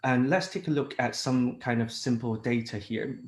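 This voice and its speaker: A man's voice: medium pitch, moderate pace, reverberant. A man from Hong Kong who works as an international technical advisor, giving a conference presentation with many fillers and restarts. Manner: hesitant, frequent fillers and restarts.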